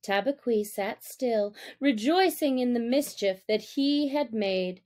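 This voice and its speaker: A woman narrating a classic story as an audiobook reader, with deliberate, expressive phrasing. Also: fairly high pitch.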